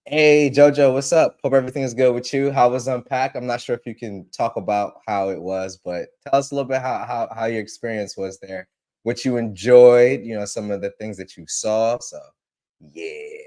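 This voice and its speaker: A man's voice: mid-range voice, moderate pace, darkish tone. A man hosting a casual tech livestream, a little congested from allergies, repeating himself and greeting viewers by name. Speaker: livestream host.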